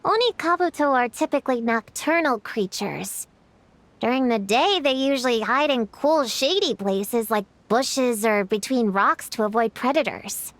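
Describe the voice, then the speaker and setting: A woman's voice: fairly high pitch, lively intonation, unhurried, no room sound. A woman voicing a bookish young girl in a video game, lecturing precisely and earnestly with some self-importance. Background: music.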